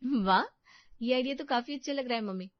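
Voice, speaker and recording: A young new bride from a domestic storybook , acted by a woman, naive, eager and quick to feel slighted. Her voice sits fairly high, in a dry, lo-fi recording. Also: no room sound, lightly acted. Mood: hopeful.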